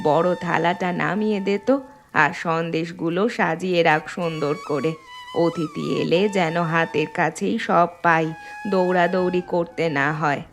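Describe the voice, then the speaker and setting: Low-pitched practical village mother played by a woman. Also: fairly even intonation, normal speed, soft background music, natural voice. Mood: gentle, practical. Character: practical, soothing middle-aged village wife and mother. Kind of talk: cartoon story voice acting.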